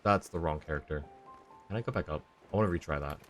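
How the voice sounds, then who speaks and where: Male game streamer, low-pitched, chatty and casual, faint music underneath. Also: quick pace, fairly bright tone. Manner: chatty, talking to viewers and himself. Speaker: live streamer. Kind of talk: casual gameplay stream commentary.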